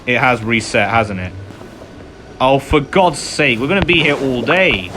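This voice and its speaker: A man's voice: medium pitch, lively intonation, unhurried. Apologetic man commentating over game music. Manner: apologetic, self-deprecating. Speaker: gamer narrating a playthrough. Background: loud video game music.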